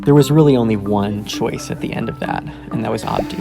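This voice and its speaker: A man who grew up in the American South narrates a food documentary. His delivery is measured and earnest, and his voice has a gravelly edge. Loud background music plays underneath. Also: fairly low pitch. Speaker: host and narrator.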